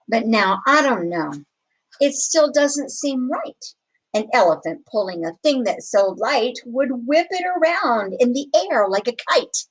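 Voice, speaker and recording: A woman reads a children's story aloud in a somewhat high-pitched voice. Her delivery is very unhurried and expressive, with dramatic, deliberate phrasing made for young listeners. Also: low-quality recording. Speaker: storybook reader. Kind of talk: expressive children's picture book read-aloud.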